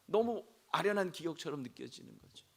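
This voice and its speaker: A man preaching a sermon as senior pastor, hoarse from a throat cold, asking the congregation questions and rising to shouts. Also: on the high side, expressive, brisk. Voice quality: gravelly.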